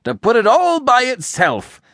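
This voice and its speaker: A man's voice: mid-range voice, lively intonation, fast talker, a bit bright, no room sound, clean studio sound. A man reading a storybook, voicing an irascible, sarcastic, blustering older rich American man who hectors explosively. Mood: furious outburst. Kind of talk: audiobook character acting.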